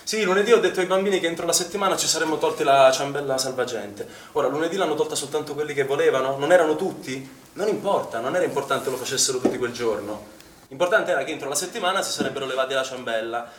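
A man's voice: a little high, fast talker, natural voice. An actor playing a defensive, irritable male swimming instructor in a naturalistic stage drama, agitated and blunt in manner. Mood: defensive, annoyed. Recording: echoey, distant stage recording with noise.

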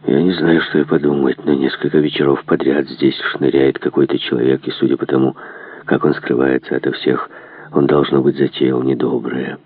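A man voicing a wary huntsman servant who talks slowly and in a mutter, in a storybook reading. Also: very deep voice, little pitch movement, narrow, tinny audio, pitched below the performer's own voice. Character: gruff male huntsman servant, slow, wary and reassuring. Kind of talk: dramatised audiobook character reading.

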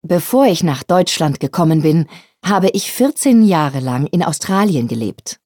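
A woman's voice: sits low, expressive, a little slow, mellow, no room sound, studio quality. A woman from Peru, a native Spanish speaker, giving a scripted first-person account.

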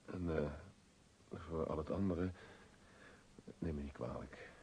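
A man's voice: rumbling low, slow pace, vocal fry, warm tone, exaggerated voice. An actor playing an impatient young man in a radio play, soothing his anxious fiancée while exasperated and dismissive. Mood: soothing, distracted. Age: young adult. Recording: old radio recording with faint noise.

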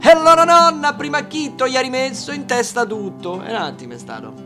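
A man's voice: very high-pitched, lively intonation, fairly quick. A man with a Roman accent singing wry, mocking folk verses while playing guitar. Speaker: singer and guitarist.

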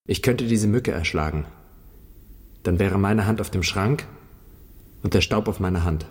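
A man's voice: low-pitched, measured pace, breathy edge. A brooding, haunted young man, cavernous reverb. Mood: agitated, bitter. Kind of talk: poetic radio drama monologue. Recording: long reverberant hall.

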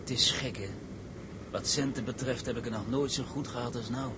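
Male actor as musing sailor, mid-range voice, period sea drama, clear rumbling noise. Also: fairly even intonation, a little fast, lightly acted. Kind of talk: Dutch period maritime drama acting. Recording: clearly noisy, rumbling background.

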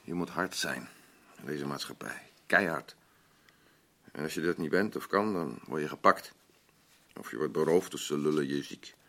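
An actor playing a bitter, defensive, grieving middle-aged husband in a naturalistic radio play, blunt and grumbling, with a gravelly voice. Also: male voice, rumbling low, moderate pace, fairly bright tone, heavily acted. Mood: scornful, defensive. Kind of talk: naturalistic radio drama acting.

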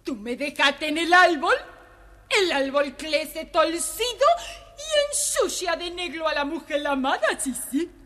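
A woman's voice: high voice, lively intonation, a little slow, pushed and bright, full character voice. A woman acting a sly, spiteful young servant girl in an exaggerated way for a children's fairy-tale record. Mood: coy, insistent. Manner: exaggerated, repeats "sí, sí". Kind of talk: theatrical children's record storytelling.

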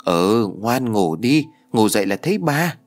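Man playing a gentle mother, soothing, lively intonation. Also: lowish voice, unhurried, soft background music. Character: patient young mother soothing her kids.

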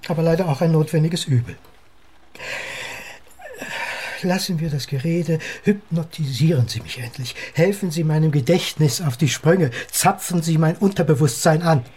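A cranky, self-certain time-travel theorist in a radio play. His husky voice sits fairly high for a man, and he lectures insistently, with arrogant impatience and condescension. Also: a little fast, few pauses, darkish tone. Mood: exasperated, urgent. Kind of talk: German radio drama dialogue.

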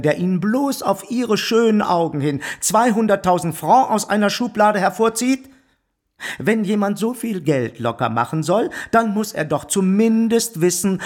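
Radio drama role: smug rich suitor, raspy, fairly high voice, pompous and condescending. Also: male voice. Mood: incredulous. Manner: pompous, patronizing, flattering. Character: smug, lecherous, condescending wealthy bourgeois suitor. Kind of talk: literary radio drama acting.

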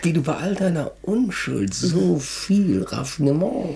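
A man's voice: on the high side, very animated, a little slow, gravelly, background noise, full character voice. A man playing a besotted, effusive marquis and ardent seducer in a radio play. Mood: enraptured. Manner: rapturous, effusive. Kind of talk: 1970s German radio play acting.

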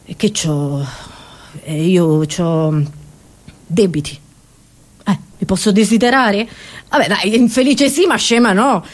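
A woman performing a theatrical, satirical comedy monologue, expressive and ironic, with rhetorical asides to the audience. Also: mid-range voice, takes it slowly, pauses often. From Italy.

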